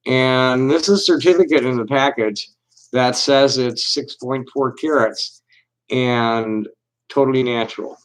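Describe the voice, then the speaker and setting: Guy rambling on his own livestream, lots of stops and restarts, reads stuff out slow and careful. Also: mid-range voice, rough recording. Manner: halting and rambling, many restarts; reads aloud with deliberate slow pauses. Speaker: livestream host. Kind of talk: casual solo livestream chat.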